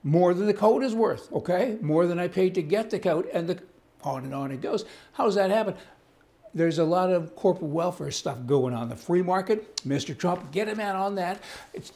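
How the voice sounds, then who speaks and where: A man's voice: sits high, lively intonation, moderate pace, a little mellow. An older man, giving indignant, rambling, folksy news commentary as host, reading to camera on an indoor studio set. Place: indoor studio set with wood-panel wall.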